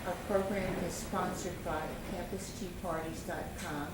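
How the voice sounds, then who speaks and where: A woman's voice: fairly low pitch, steady tone, moderate pace. A woman hosting an interview, asking questions with many ums and restarts. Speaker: interview host. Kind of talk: public-access interview. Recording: echoey room, far from mic, loud noise.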